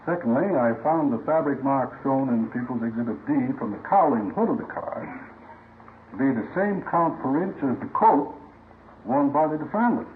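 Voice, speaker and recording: An actor playing a matter-of-fact police crime lab lieutenant, giving precise, formal testimony in a 1950s radio drama. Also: male voice, medium pitch, animated, moderate pace, natural voice. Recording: old radio recording, narrow, faint noise.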